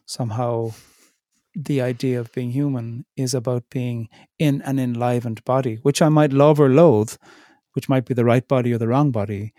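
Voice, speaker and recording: A middle-aged man speaking reflectively as an interview guest, thoughtful and searching, pausing and restarting as he works through his ideas. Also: mid-range voice, fairly even intonation, warm tone, dry recording, clean studio sound. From Ireland. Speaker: theologian in residence, interview guest.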